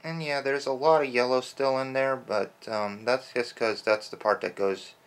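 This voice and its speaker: A man quietly rambling as he paints a toy, his throat a little rough from early-morning allergies. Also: medium pitch, steady tone, moderate pace. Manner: soft-spoken, rambling with fillers. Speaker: toy customizer. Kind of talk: casual hobby narration while painting.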